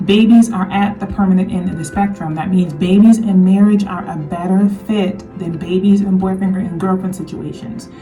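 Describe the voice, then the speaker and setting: A woman giving an earnest commentary monologue on her channel, slightly hoarse, often repeating her points to drive them home. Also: mid-range voice, steady tone, moderate pace, hardly any pauses, dark tone, recorded from a distance, faint music behind, poor audio. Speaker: vlogger.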